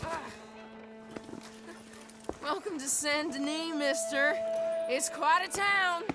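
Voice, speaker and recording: A woman's voice: high-pitched, takes it slowly, over loud music. A woman voicing a cocky, wily young street urchin boy in a video game, brash and cheeky. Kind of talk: video game cutscene acting.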